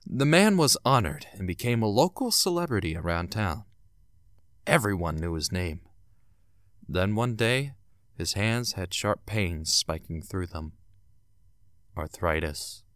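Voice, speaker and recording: A man's voice: low-pitched, expressive, a little slow, long pauses, fairly bright tone, clear, resonant tone, dry recording, studio quality. A man narrating a scripted audio drama in a dramatic, theatrical storytelling manner. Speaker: narrator and announcer. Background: music.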